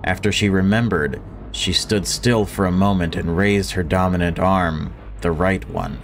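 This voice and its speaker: A man's voice: low voice, fairly even intonation, a little slow, faint music behind. A man reading visual novel story text aloud and adding casual commentary as a gaming video creator.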